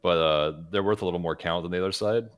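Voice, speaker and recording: A man's voice: deep voice, fast talker, smooth. A man co-hosting a gaming podcast, talking in a casual, conversational way. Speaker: podcast co-host.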